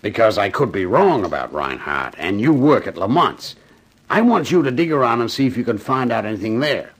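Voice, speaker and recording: Low-voiced, sardonic homicide cop. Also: male voice, big pitch swings, a little fast, slightly rough. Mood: businesslike, firm. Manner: dry, blunt, slangy. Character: shrewd, sardonic male police homicide lieutenant. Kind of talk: 1950s radio detective drama acting. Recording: vintage 1950s radio recording.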